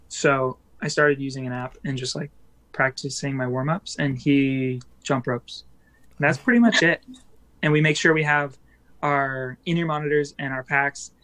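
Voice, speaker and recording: A man, a band musician, chatting casually as a guest in an interview, rambling with plenty of fillers and quick laughs. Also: medium pitch, fairly bright tone, poor audio.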